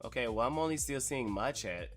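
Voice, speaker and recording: A hoarse man hosting a casual live-stream chat, telling stories in an excitable, slangy way. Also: medium pitch, fairly quick, faint music behind. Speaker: streamer host.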